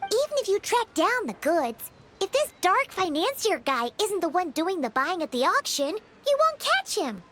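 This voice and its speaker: A woman voicing a tiny, excitable female companion sprite in a video game cutscene, talking about herself in the third person and quick to jump to conclusions. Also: extremely high pitch, noisy background. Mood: eager.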